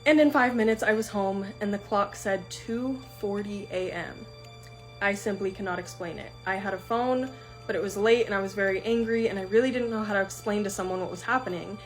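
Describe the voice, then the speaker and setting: A woman's voice: mid-range voice, a little fast, poor audio. Woman narrating an eerie tale over faint music. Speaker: storytime host reading viewer stories. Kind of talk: reading a first-person scary story aloud, then reacting.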